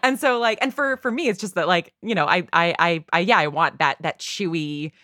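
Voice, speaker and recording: A woman's voice: fairly low pitch, fairly quick, fairly bright tone, no echo, clean studio sound. A woman talking casually as a podcast guest and writer, thinking aloud and repeating words as she goes. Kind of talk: casual conversational interview.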